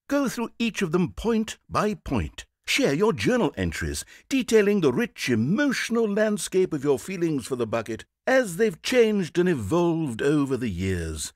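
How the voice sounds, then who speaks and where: An actor playing a fussy, condescending, melodramatic game narrator, speaking in an articulate, emphatic storybook style. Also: male voice, on the high side, dry recording. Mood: rallying, firm.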